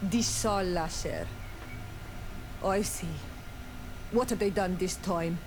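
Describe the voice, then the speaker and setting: A woman playing a proud, solemn young island clan warrior leader in a fantasy video game, speaking gravely and deliberately. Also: on the low side, music in the background, poor audio. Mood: grave, weary. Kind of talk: scripted video game dialogue acting.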